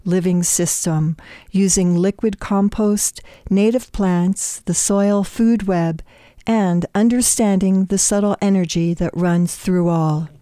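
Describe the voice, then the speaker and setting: A woman's voice: medium pitch, mellow, clear, resonant tone, no room sound, clean studio sound. A woman hosting an interview, reading scripted introductions and asking curious questions. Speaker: host.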